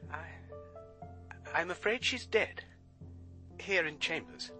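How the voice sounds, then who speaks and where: High, sharp, agitated barrister. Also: male voice, expressive, soft background music, heavily acted. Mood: shaken, horrified. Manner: halting, agitated. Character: shaken, agitated barrister reporting a death. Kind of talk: radio crime drama acting.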